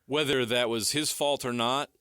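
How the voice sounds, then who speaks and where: A man's voice: mid-range voice, fairly even intonation, normal speed, sharp tone, clear, resonant tone, no room sound, studio quality. A man hosting a sports radio show, steering the talk and asking a former player for his take. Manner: steers the talk, reads sponsor copy.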